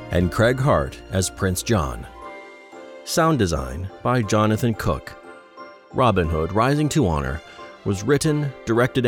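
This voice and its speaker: A man announces scripted credits in a fairly low voice. His intonation is lively and varied, and music plays prominently behind him.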